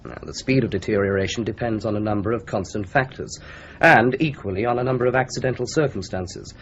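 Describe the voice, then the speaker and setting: An actor playing a careful forensic doctor who explains things in a guarded, lecturing way, in a radio drama. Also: male voice, on the low side, brisk, fairly bright tone, slight background noise. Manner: lecturing, guarded, dryly cautious. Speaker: police doctor. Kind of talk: 1970s radio drama acting. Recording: slightly dull broadcast recording.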